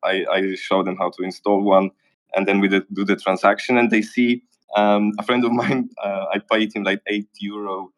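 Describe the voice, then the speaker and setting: Man, somewhat low voice, chatty, questioning, casual audio chat. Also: flat delivery, normal speed, rough recording. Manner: conversational, questioning. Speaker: audience speaker.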